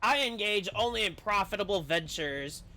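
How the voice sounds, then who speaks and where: A man's voice: sits high, lively intonation, normal speed, pushed and bright. A man voicing a haughty, wealthy old noble in a video game story, condescending and theatrical. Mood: coolly challenging.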